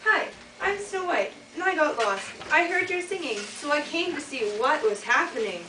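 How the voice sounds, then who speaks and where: Teen girl as a bossy cheerful princess, high and lively voice, echoey room. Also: moderate pace. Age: teens. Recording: echoey room from far off, noisy.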